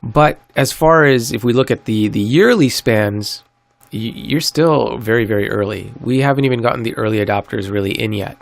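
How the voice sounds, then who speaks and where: A man hosting a solo podcast, a little stuffy from a cold, talking in an earnest, upbeat sales-pitch way. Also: normal speed, gravelly, dry recording. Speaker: podcast host. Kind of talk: solo crypto podcast monologue.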